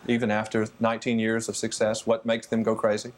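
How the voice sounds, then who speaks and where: A man's voice: on the low side, completely flat delivery, a little fast. A male interviewer posing conversational questions to a singer.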